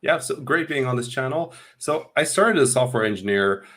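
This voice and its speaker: A man's voice: mid-range voice, animated, brisk, a bit bright. A man in his thirties, originally from Hungary, talking through his career as a guest on a video call, rambling and restarting his sentences. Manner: rambling, repeats words at starts. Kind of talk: casual interview talk. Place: small room at home. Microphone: earphones on video call.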